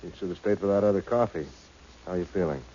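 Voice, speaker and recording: A man's voice: deep voice, fast talker, warm tone, natural voice. An actor playing an anxious, caring male colleague in a 1960s radio drama. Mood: concerned. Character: anxious young male colleague, frantic then tenderly concerned. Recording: muffled, very noisy old radio recording.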